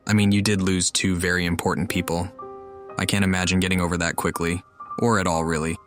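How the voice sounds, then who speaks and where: A woman's voice: extremely low pitch, little pitch movement, brisk. A woman playing a video game and commenting casually with dry, sarcastic asides. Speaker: gamer commenting over a playthrough. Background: game music.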